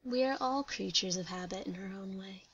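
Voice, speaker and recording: An affectionate goddess daughter acted by a woman at a medium pitch. It is audio drama dialogue with a slightly dull, lo-fi sound. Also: moderate pace, fairly bright tone, natural voice. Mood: tender. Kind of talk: radio-play story reading.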